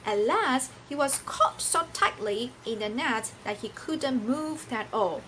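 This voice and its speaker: A woman's voice: fairly high pitch, expressive, takes it slowly, a bit bright, a little hiss or hum. A woman who is a non-native English speaker reads a picture book to children theatrically, teacherly, asking and answering her own questions. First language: not English. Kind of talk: animated children's read-aloud with questions to listeners.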